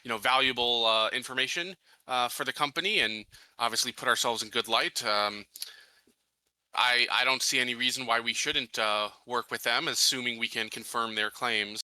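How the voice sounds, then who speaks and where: A man with a very bright, forward voice talks through his moves as a player in a tabletop game. He is measured and a little hesitant, with a dry, close sound. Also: medium pitch, no room sound, low-quality recording. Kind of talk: casual in-character roleplay.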